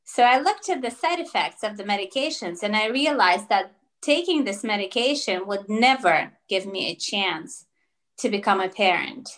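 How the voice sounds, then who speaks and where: A woman's voice: medium pitch, lively intonation, normal speed, a bit bright. A woman in her thirties, born in Russia with Russian as her first language, telling her story as an interview guest over a video call, thoughtful with many fillers and restarts. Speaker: naturopathic doctor, guest.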